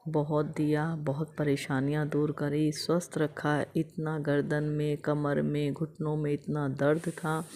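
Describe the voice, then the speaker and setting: A middle-aged woman with a hoarse throat, sharing an emotional devotional thanksgiving testimony in a repetitive, chant-like way. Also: sits low, monotone, unhurried, hardly any pauses, mellow.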